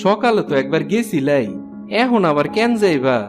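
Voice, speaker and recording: A man's voice: fairly high pitch, expressive, moderate pace, over music, lightly acted. A man playing a poor, humble village fisherman in a cartoon folk tale, speaking plain rustic Bangladeshi colloquial Bengali. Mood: earnest, homely.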